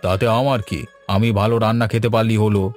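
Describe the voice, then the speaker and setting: A man playing an authoritative, principled village gentleman who loves food, speaking firmly in a cartoon. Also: lowish voice, steady tone, quick pace, natural voice. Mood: firm, assured. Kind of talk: Bengali cartoon voice acting. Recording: music behind the voice.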